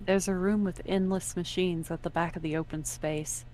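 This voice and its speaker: A woman's voice: fairly low pitch, fairly even intonation, normal speed, mellow, a little hiss or hum, rough recording. A woman reading scripted second-person story narration as the narrator. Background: music under the voice.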